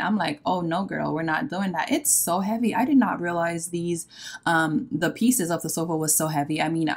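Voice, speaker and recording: A young woman with a low-pitched voice talks in a loose, rambling way, full of filler words. She sounds tired as she chats casually to her viewers about her evening chores at home. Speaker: vlogger. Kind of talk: casual chat. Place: bright home living room.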